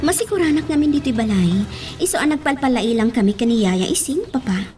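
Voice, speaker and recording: A friendly young villager in a Filipino radio drama. Her highish voice swings expressively, with a Filipino accent, slightly away from the mic over music. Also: animated, fairly quick, darkish tone. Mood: apologetic. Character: friendly, willing, dutiful young woman villager. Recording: distant mic, music bed.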